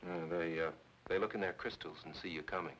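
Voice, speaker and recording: An actor playing a brisk, earnest man in a 1940s film noir style. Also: sits low, fairly even intonation, a bit bright, dull audio, a little hiss or hum. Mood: urgent.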